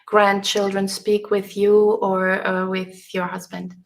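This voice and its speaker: A woman's voice: medium pitch, completely flat delivery, slow. A woman hosting a live online panel, introducing guests and asking polite questions with some "uh" fillers. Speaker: host. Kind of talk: live video panel moderating.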